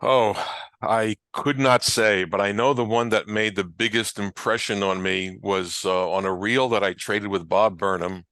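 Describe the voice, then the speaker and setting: An older man reminiscing casually as an interview guest, often halting and restarting mid-thought. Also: fairly low pitch, steady tone, fairly bright tone, no echo. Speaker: interview guest, old-time radio researcher.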